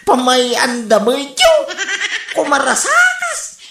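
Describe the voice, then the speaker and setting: A woman's voice: high voice, wildly swooping pitch, drawn-out words, gravelly, very noisy, full character voice. A woman with an Ilocano accent playing a gossipy, teasing, cackling cousin in a comedy radio drama. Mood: gleeful teasing. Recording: roomy, echoing radio drama sound.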